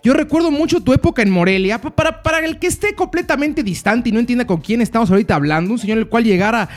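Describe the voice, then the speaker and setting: High-voiced Mexican male interviewer. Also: lively intonation, quick pace. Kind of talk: conversational sports interview.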